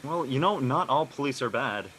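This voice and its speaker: Contrarian, nosy teen guy played by a man, husky and animated, slangy delivery. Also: medium pitch, normal speed. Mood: argumentative. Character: prying, contrarian teenage boy. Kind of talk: casual scripted drama dialogue.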